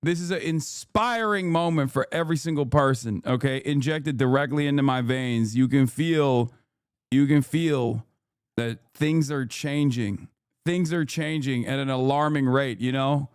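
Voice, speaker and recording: A man's voice: mid-range voice, unhurried, clean tone, no room sound, clean studio sound. A man in his thirties with a Texan accent, hosting a live stream with hyped, profane, rambling commentary. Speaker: streamer host.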